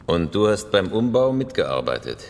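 A man's voice: sits low, big pitch swings, moderate pace, a little mellow, slight background noise. A man playing a laconic professional thief in a radio crime drama, asking terse, probing questions.

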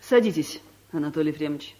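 A woman's voice: low-pitched, fast, warm tone, natural voice. An actress playing a stern, imperious female director in a radio comedy, speaking formally and categorically in short questions and statements. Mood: indignant, hurt. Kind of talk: radio comedy play acting.

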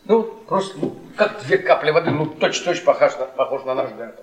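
An actor playing a bitter middle-aged husband who tells cruel, witty stories with theatrical mockery in a Russian radio drama. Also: male voice, a little high, lively intonation, normal speed, natural voice. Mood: mocking storytelling. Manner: theatrical, sardonic, taunting. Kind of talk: Russian stage-style radio drama acting. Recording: echoey theatre, distant mic, audience noise.

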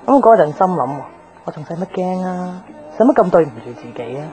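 An actress playing a guarded, jaded young tomboyish bar owner in a radio drama, offhand and slangy with a Hong Kong Cantonese accent. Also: female voice, fairly low pitch, sing-song, brisk, mellow. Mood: rueful, confiding. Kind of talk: naturalistic radio drama acting. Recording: music behind the voice.

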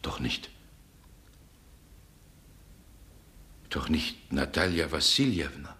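An actor playing a brooding, suspicious gentleman in a 1960s German radio drama, sardonic and agitated in manner. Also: rumbling low, lively intonation, moderate pace, heavily acted. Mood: probing, uneasy. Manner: agitated, sardonic, muttering to himself. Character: brooding, suspicious gentleman confronting a nocturnal visitor.

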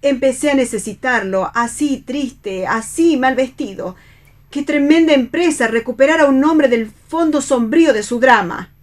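Tender, devout young woman, higher-voiced played by an actress. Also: moderate pace, lightly acted. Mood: tender, wistful. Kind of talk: read radio theatre.

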